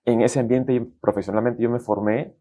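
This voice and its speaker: Relaxed Peruvian man with a mellow voice. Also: mid-range voice, fairly quick. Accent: Peruvian Spanish. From Peru. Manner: relaxed, colloquial, acts out quoted dialogue. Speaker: guest executive. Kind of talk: casual interview storytelling.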